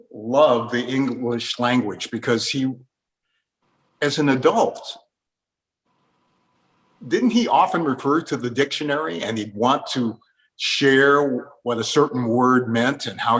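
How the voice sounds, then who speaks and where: A man leads an interview in a conversational style. His voice is medium in pitch as he puts questions to guests and adds recollections. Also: slow, long pauses, fairly bright tone, poor audio.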